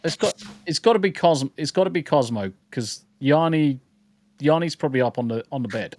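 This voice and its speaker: A man's voice: fairly high pitch, animated, brisk, a little mellow, dry recording. A man co-hosting a casual podcast livestream, joking and swearing freely while greeting viewers in chat. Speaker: podcast co-host.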